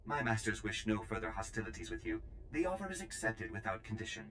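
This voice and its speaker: Courtly, low-voiced servile envoy played by a man. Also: quick pace, noisy background, close to an everyday voice. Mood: pleading, deferential. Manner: courtly, obsequious, formal. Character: deferential, formal male envoy serving crime lords. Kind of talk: video game voice acting. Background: music.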